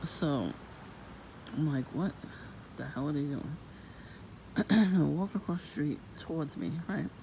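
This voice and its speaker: Woman, low voice, gravelly, soft-spoken, rambling, suspicious, noisy outdoor recording. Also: lively intonation, a little slow, pauses often. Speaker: vlogger. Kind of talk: casual rambling monologue.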